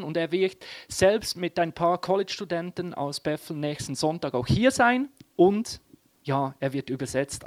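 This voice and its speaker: A man preaches in a lively, chatty way and keeps turning to his congregation. His voice sits high for a man. The sound is close and dry, with little room around it. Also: no echo. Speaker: pastor. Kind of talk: sermon with announcements.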